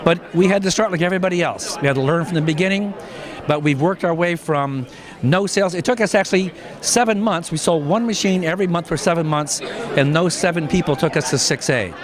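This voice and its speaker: A man tells a relaxed testimonial story with light jokes. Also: on the high side, brisk, a crowd in the background.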